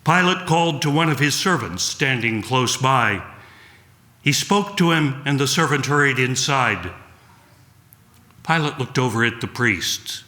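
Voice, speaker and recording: Male reader for solemn dramatic church narration. Unhurried, theatrical, clear-cut forceful tone, roomy echo. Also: mid-range voice, lively intonation. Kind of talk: dramatic scripted narration of a Passion story. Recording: echoey room sound.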